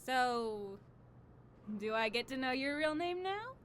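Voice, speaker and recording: A woman's voice: high voice, big pitch swings, slow pace, fairly bright tone, a little hiss or hum, exaggerated voice. A woman playing an ambitious exiled royal in an audio drama, with an imperious, earnest and assured way of talking. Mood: composed, curious. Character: proud, ambitious exiled young female royal, would-be conqueror.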